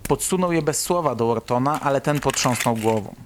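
A man's voice: mid-range voice, fairly quick, noisy background. A man reading scripted literary narration for a radio drama.

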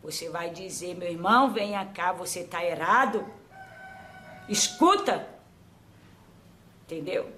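A woman acting as a medium for an old grandmother spirit, giving slow, repetitive spiritual advice that trails off softly. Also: lowish voice, lively intonation, a little hiss or hum. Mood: counseling. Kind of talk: spiritual counseling.

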